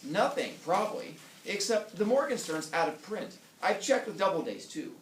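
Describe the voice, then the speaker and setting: A man reading aloud voices a sharp, suspicious psychiatrist wife calling her husband. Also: high voice, big pitch swings, moderate pace, pitched above the performer's own voice. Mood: businesslike. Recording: echoey room, distant mic, faint noise.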